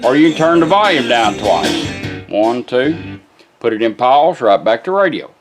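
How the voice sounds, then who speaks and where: Male product demonstrator, informal and folksy, medium pitch, casual hands-on walkthrough. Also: big pitch swings, measured pace, over music. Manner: folksy, informal, talks to the gadget.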